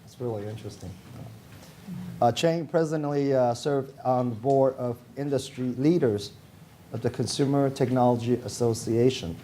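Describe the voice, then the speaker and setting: Mellow-voiced man reading a formal introduction. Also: mid-range voice, normal speed, slight background noise. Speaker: panel moderator introducing a speaker. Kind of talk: reading a scripted biography aloud.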